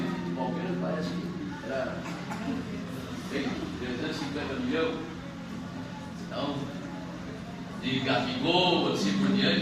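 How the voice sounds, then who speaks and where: A man's voice: fairly high pitch, far from the mic. A man preaching an anniversary church sermon, joking at first, then building into emphatic preaching full of hallelujahs. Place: church hall. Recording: echoey church from afar with noise.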